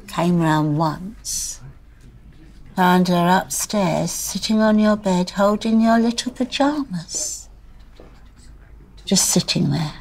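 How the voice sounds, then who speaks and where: Slow-spoken older widowed mother. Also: mid-range voice, a little hiss or hum, low-quality recording. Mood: troubled, pleading. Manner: rambling, plaintive, deadpan wit. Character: grieving older widowed mother, wry, pleading and stubborn.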